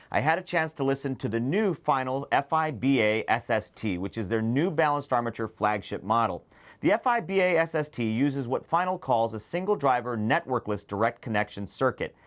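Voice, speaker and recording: A man hosting a product preview, speaking to viewers in a detailed, enthusiastic, semi-scripted way. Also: medium pitch. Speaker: presenter of an audio gear preview show.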